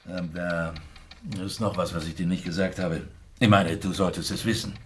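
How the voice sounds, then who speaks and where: An actor playing a gruff, middle-aged police superior, blunt and agitated, in a German radio crime drama. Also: male voice, sits low, steady tone, normal speed, a bit bright, slight background noise. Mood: firm, warning. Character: gruff, rattled male police superior who wants a drink.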